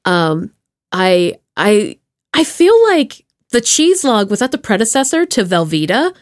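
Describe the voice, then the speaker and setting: A woman's voice: mid-range voice, very animated, a little slow. A woman hosting a podcast in casual conversation, playful and self-deprecating. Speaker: podcast host. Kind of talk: casual interview chat.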